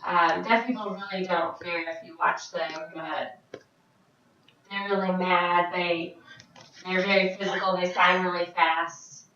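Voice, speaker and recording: A woman voicing a signed question-and-answer vlog as an interpreter, speaking in short, halting phrases with frequent restarts. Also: on the low side, fairly even intonation, bright, forceful tone, a little hiss or hum. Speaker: sign language interpreter voicing a Deaf vlogger. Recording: very echoey, distant, dull sound.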